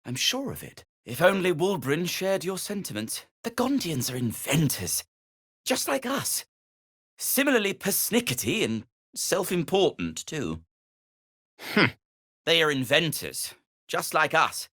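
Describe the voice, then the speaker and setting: A slow-spoken, earnest, fussy gnome inventor. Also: male voice, on the high side, very animated, sharp tone, breathy edge, no room sound, clean studio sound, exaggerated voice. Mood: pleading, troubled. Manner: hesitant, wordy, old-fashioned politeness. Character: earnest, fussy, melancholy male gnome inventor, loyal and anxious. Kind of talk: video game character dialogue.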